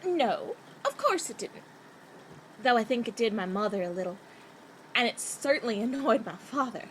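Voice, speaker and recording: A woman playing a kind, frank young girl in a radio play, earnest and gently scolding. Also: a little high, animated, normal speed, a little hiss or hum, close to an everyday voice, rough recording. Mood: frank, sympathetic. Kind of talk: theatrical radio play acting.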